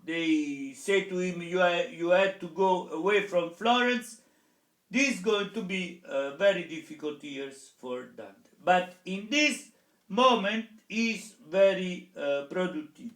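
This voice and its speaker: A man's voice: high-pitched, animated, fairly bright tone, echoey room. A man with an Italian accent, a professor lecturing in English with frequent hesitant fillers. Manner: hesitant, many pauses and fillers. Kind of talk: public lecture.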